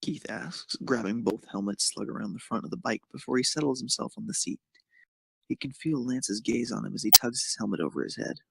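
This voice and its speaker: A man's voice: mid-range voice, fairly quick, vocal fry, slight background noise, poor audio. A man reading scripted narration of a romantic fan fiction story.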